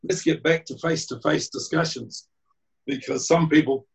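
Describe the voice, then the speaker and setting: A man with a croaky voice, presenting a report to a council over a video call in a chatty, rambling way. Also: a little high, fairly even intonation, normal speed. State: croaky voice, clearing throat.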